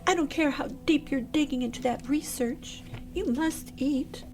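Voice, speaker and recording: An actress playing a solicitous, insistent secretary in a radio play, pleading with concern. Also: female voice, high voice, unhurried, a little mellow. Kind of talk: radio drama acting. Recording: prominent music under the voice.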